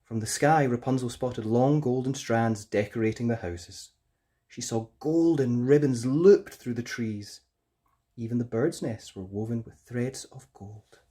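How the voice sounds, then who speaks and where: A woman narrating a children's fairytale as an expressive storyteller. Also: extremely low pitch, unhurried, slightly rough.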